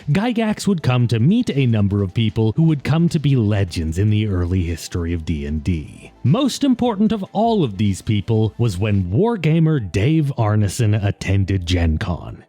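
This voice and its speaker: A man's voice: fairly low pitch, warm tone. A man narrating a scripted video essay in a conversational, wry way, as the host of the show.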